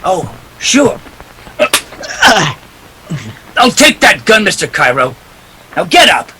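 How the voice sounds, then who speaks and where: A man's voice: high-pitched, huge pitch swings, takes it slowly, sharp tone, breathy edge, full character voice, rough recording. A man acting a wisecracking, cool private detective in a 1940s radio play, clipped and wry. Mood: wry, unruffled.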